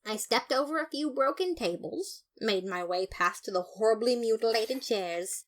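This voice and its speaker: A woman's voice: on the high side, expressive, normal speed, lightly acted. A woman voicing an earnest, boastful male skeleton detective who narrates his case in a fan audio drama, theatrical and emphatic. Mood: gleeful, proud. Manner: theatrical, self-satisfied, emphatic.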